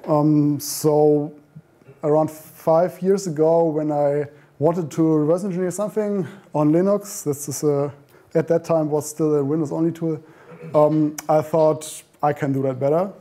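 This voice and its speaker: A man's voice: fairly high pitch, steady tone, unhurried, dark tone. A man in his thirties giving an informal meetup talk in a meeting room, full of ums and restarts, recorded by a camera at the back. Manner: informal, frequent ums and self-corrections. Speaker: meetup speaker. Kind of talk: conference talk. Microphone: far camera.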